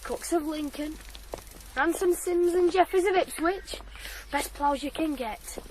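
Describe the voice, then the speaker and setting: A very high, boyish voice plays an eager, shy young ploughboy in a 1980s British radio drama. He speaks hesitantly and earnestly, over faint noise. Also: a little slow, a little mellow, poor audio. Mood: hopeful.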